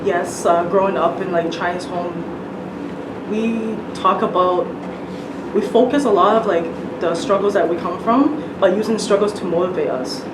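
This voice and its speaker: A woman author from Canada, whose first language is Cantonese, answering interview questions in a soft-spoken, unhurried way with many restarts. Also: medium pitch. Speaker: guest author. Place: studio. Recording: roomy, distant mic, loud noise.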